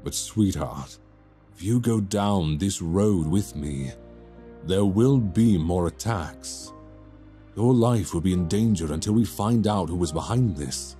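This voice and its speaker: A man playing a guilt-stricken, tender young prince in a romantic audio roleplay, halting, earnest and given to sighs. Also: sits low, lively intonation, mellow, faint music behind. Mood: tender, guilty.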